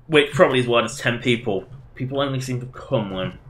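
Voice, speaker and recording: A man's voice: mid-range voice, fairly quick. A man doing casual live game commentary, rambling and self-deprecating as he chats to his viewers. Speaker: game livestreamer.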